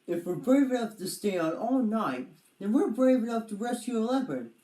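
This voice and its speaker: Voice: mid-range voice, expressive, normal speed, dark tone, reverberant, pitched above the performer's own voice, lightly acted, low-quality recording. A storybook reader voicing a brave, pompous young boy adventurer who speaks in a proper, earnest way. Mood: resolute.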